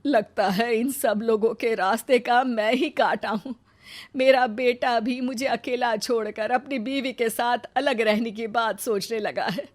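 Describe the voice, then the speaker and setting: A woman playing a sharp-tongued, stingy older mother-in-law in a cartoon moral story. Her voice sits fairly high, with plenty of scolding and sarcasm. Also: steady tone, measured pace, natural voice. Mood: resigned. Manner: scolding and sarcastic, bitter asides.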